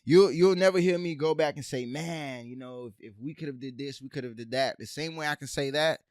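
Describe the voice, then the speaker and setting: A young man from Haiti co-hosting a casual podcast, an animated storyteller who says "bruh" and "man" a lot. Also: a little high, a little fast, no echo, clean studio sound. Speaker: podcast co-host. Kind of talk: casual chat.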